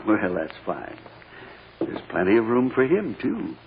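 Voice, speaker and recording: An actor playing a kindly, patient judge with gentle, reassuring authority in a 1940s radio drama. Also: male voice, lowish voice, huge pitch swings, unhurried, heavily acted. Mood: gentle, soothing. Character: kindly, patient male judge calming a courtroom. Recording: dull, noisy old radio recording.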